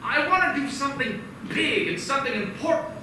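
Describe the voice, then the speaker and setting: A man's voice: very high voice, lively intonation, fairly quick. A man playing an eager young dreamer. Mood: earnest. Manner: earnest, eager, stammering at times. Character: restless young man eager to leave his small town. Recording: echoey room, far from mic, noisy.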